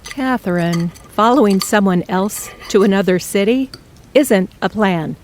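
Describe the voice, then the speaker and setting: A woman's voice: medium pitch, natural voice. An actress playing a middle-aged mother who firmly presses her daughter about her future plans. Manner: firm, measured, blunt. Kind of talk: audio drama acting.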